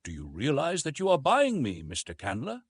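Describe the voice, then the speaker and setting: Guy reading as proud young lady from an old novel, cool and articulate, husky edge. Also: mid-range voice, wildly swooping pitch, moderate pace, no room sound, exaggerated voice. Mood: cold, accusing. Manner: cold, level, articulate. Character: proud, cold, brave young woman.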